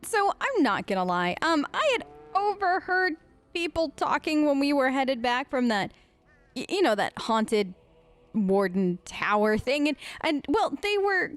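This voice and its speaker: A woman improvising in-character role-play chat for a let's play, casual and halting. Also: high voice, very animated, soft background music. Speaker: let's player role-playing game dialogue aloud.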